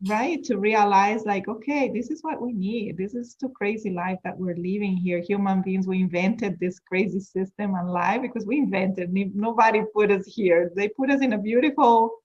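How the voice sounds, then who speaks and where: A woman hosts a heartfelt chat, speaking at a middling pitch. She talks earnestly and circles back over her words as she looks for the right phrase. Her voice comes through a dull, muffled video-call line. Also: moderate pace, hardly any pauses, darkish tone. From Colombia. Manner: earnest, repeats words while searching for phrasing. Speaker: host. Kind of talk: casual conversation.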